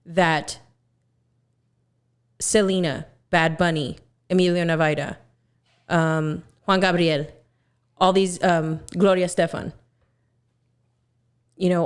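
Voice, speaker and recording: A woman in her twenties from Texas, a singer talking as a podcast guest in an earnest way, often pausing with fillers as she searches for words. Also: fairly low pitch, clean tone. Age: 20s. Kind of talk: casual podcast conversation.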